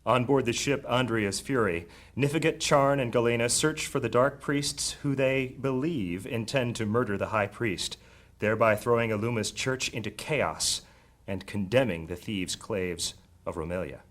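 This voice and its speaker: A man delivering dramatic, scripted narration for a high fantasy story. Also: moderate pace, fairly bright tone.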